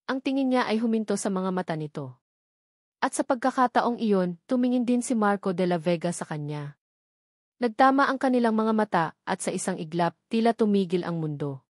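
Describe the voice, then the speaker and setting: A woman's voice: mid-range voice, darkish tone, no room sound, clean studio sound. A woman reading a scripted dramatic story as its narrator.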